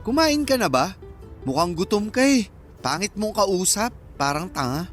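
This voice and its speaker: A man's voice: sits high, sing-song, moderate pace, fairly bright tone, soft background music. A man playing a cocky young Filipino in a radio romance drama, playful and boastful as he teases his cousin. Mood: bossy. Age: young adult.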